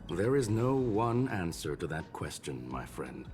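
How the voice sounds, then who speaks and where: An actor playing a courteous, calculating scholarly gentleman in a video game, speaking in a formal, measured, polite way. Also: deep voice, sing-song, soft background music. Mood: courteous, calm.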